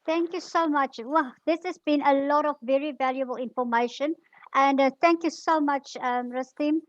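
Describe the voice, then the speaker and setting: A woman's voice: high-pitched, fairly even intonation, moderate pace, muffled recording. A woman hosting an online webinar, effusive and thanking speakers over and over. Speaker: webinar host. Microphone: video call.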